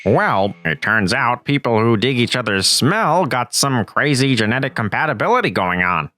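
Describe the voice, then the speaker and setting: A man's voice: medium pitch, sing-song, normal speed, bright, forceful tone, soft background music, pitched below the performer's own voice. A man voicing a laid-back, slangy, know-it-all nerdy teenage boy, sounding a bit distant from the mic.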